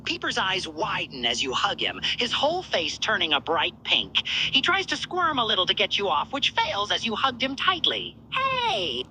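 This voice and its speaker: A woman's voice: mid-range voice, big pitch swings, normal speed, few pauses, bright, forceful tone, slight background noise, rough recording. A woman reading a fan-fiction story aloud as narrator.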